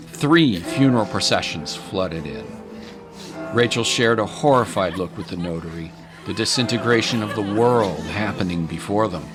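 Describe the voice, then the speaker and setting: A man narrating a scripted dramatic story in a measured, solemn manner. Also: lowish voice.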